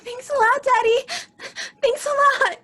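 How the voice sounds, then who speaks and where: A woman's voice: very high-pitched, fairly even intonation, a little slow, bright, forceful tone, dry recording, heavily acted. A woman voicing a little girl in an audio drama, whiny and pleading with her father. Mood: grateful. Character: little girl Wendy, pleading young daughter.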